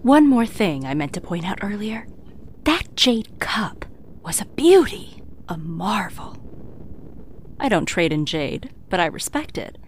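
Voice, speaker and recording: An actress playing a worldly, teasing treasure merchant who narrates an audio drama, sly, confiding and theatrical. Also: female voice, medium pitch, slight background noise. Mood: confiding, wry.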